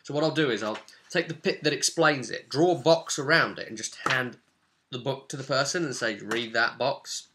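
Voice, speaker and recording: A man's voice: medium pitch, moderate pace, background noise. A man casually narrating a tabletop role-playing session as its game master. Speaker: game master recapping his own tabletop campaign.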